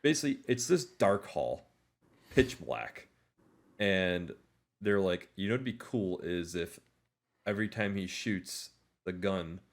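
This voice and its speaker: Deep-voiced man chatting casually. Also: expressive, slow pace, long pauses. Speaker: podcast co-host. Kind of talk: casual movie discussion chat.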